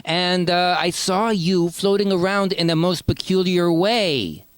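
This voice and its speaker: A man's voice: high voice, measured pace, slight background noise. A man hosting a comic podcast interview in a casual, wry way, playing along with the bit. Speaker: podcast host.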